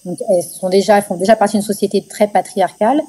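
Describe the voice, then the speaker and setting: A woman's voice: medium pitch, steady tone, fast talker, dark tone, breathy edge. A woman from the Paris suburbs, giving earnest, emphatic interview testimony as an activist. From France, Paris suburbs. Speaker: NGO founder, activist, interview guest.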